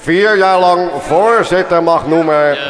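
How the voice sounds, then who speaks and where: A self-important committee chairman in a radio comedy. His voice sits a little high and he draws out his words ceremoniously, stumbling as he goes. A crowd is audible behind him. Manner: drawn-out, ceremonious, halting. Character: self-important male election chairman angling for a payoff. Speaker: polling committee chairman making an announcement. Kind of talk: comic radio sketch acting.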